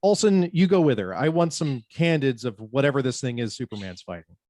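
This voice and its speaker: A man playing a brisk, commanding newspaper editor in an amateur script table read. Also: mid-range voice, expressive, darkish tone, no room sound, clean studio sound, natural voice. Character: brisk, bossy male newspaper editor chief.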